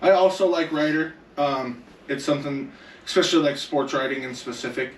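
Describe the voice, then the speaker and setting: A young man co-hosts a casual gaming podcast and leads the talk with questions. His voice sits a little high and sounds upbeat. The recording is roomy and echoey, taken from a mic some way off, with faint noise underneath. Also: fairly quick, far from the mic. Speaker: podcast co-host.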